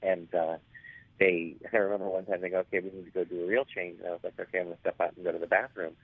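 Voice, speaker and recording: Raspy low-voiced man on a phone line. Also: level tone, fast talker, no echo. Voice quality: raspy, hoarse voice. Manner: rambling, many fillers and restarts. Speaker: actor guest. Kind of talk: casual interview storytelling.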